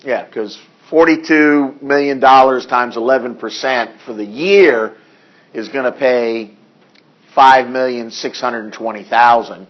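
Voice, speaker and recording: A hoarse male accounting instructor in a classroom lecture, reading figures deliberately and adding dry asides. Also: mid-range voice, lo-fi sound. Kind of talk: classroom lecture working through problems.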